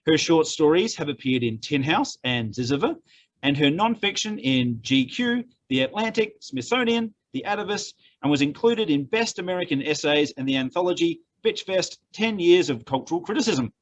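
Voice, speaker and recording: A man's voice: on the high side, lively intonation, moderate pace, lo-fi sound. A man hosting an event, reading out a formal introduction of a guest. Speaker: event host introducing an author. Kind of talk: spoken introduction reading a biography.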